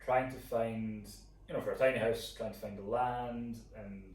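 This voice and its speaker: A man's voice: medium pitch, fairly even intonation, normal speed, darkish tone. A young man with a Scottish accent, co-hosting a vlog in casual, informal chat, thinking aloud as he explains. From Scotland. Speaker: co-host of a couple's vlog. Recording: echoey room, distant mic, faint noise.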